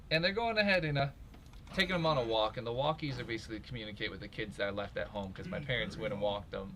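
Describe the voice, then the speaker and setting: A man's voice: fairly low pitch, fast talker. Young man rambling casually over background noise. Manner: rambling, peppered with "like" and "you know". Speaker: gamer, co-host. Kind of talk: casual storytelling over gameplay.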